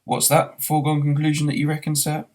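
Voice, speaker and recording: A man's voice: on the high side, completely flat delivery, fast talker, a little mellow, voice pushed up, close to an everyday voice. A man voicing a dignified old pub barman in a storybook, chatty and deferential. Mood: polite, chatty.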